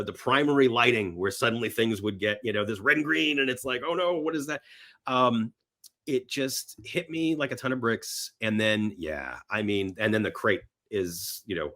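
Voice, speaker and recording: A man chatting casually and enthusiastically as a podcast guest about films, halting and rambling, sometimes voicing imagined reactions. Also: on the low side, a bit bright. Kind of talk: casual film talk in an interview.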